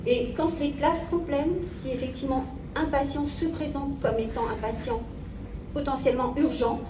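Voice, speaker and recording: A woman with a fairly high voice presents informally as a health centre staffer. She sounds distant from the microphone, in a roomy space with noticeable noise around her. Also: steady tone, normal speed. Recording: roomy echo, distant mic, noisy.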